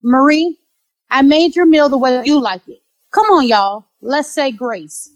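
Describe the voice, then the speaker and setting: High-voiced, sugary-sweet female neighbour played by an actress. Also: lively intonation, measured pace, dry recording. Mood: sweetly hospitable. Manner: sweetly friendly, sociable. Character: scheming, sugary-sweet pregnant woman neighbour and friend. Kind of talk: audio drama acting.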